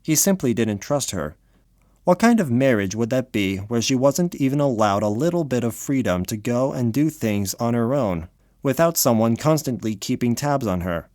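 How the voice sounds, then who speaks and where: A man's voice: medium pitch, lively intonation, moderate pace, darkish tone, no echo, clean studio sound. A man reading scripted story narration for an audio drama.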